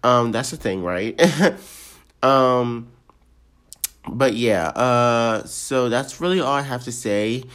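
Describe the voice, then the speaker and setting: A man's voice: medium pitch, takes it slowly, a bit bright, clear, resonant tone. A nineteen-year-old man hosting a casual solo podcast intro, rambling and self-deprecating with lots of ums and little laughs, his voice a bit off from being sick. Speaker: podcast host.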